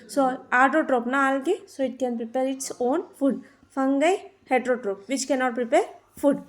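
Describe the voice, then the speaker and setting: A woman with a South Indian accent giving a biology lesson in a didactic, emphatic way, repeating key terms. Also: high-pitched. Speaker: biology teacher. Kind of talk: classroom lecture, explaining definitions.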